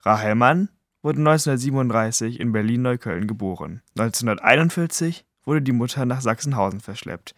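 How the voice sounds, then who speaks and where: A man's voice: medium pitch, lively intonation, a little fast, dry recording. Young man reading a prepared text, careful, dry sound. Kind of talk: read report.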